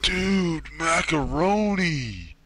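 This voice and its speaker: A man's voice: on the high side, animated, drawn-out words, a bit bright, a little hiss or hum, exaggerated voice. A man voicing a dim-witted, laid-back stoner with a drawl in an anime parody. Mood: spaced-out, amused. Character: spaced-out, dim-witted male stoner slacker. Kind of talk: comedic cartoon parody dubbing.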